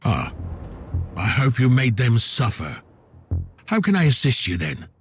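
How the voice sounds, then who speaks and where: A boisterous old starship captain from a sci-fi game, full of roguish charm. His mid-pitched voice swoops up and down with jovial, theatrical courtesy, and music plays behind him. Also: wildly swooping pitch, unhurried. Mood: gruff contempt. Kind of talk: sci-fi video game voice acting.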